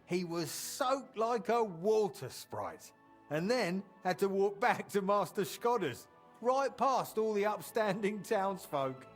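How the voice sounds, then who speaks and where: An actor voicing a chatty medieval townsman in a video game, telling colloquial, animated tales of old times with fondness. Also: high voice, slow, soft background music. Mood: wry, reminiscing. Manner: animated, colloquial storyteller acting out quotes. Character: chatty medieval townsman reminiscing fondly about an old drinking friend. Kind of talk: storytelling in video game dialogue.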